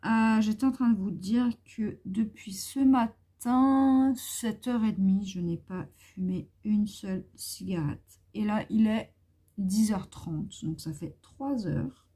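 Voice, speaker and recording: A hoarse woman vlogger chatting casually and meanderingly to her viewers into a phone at home. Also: mid-range voice, takes it slowly, dark tone. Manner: rambling, meandering talk.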